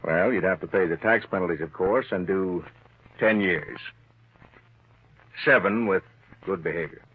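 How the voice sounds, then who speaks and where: A thin, narrow old radio recording carries a low, husky lawman. He is shrewd and sure of himself, speaking with deliberate, assertive pressure in classic crime drama style. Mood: pressing. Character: shrewd, confident male government lawman pressing a crook.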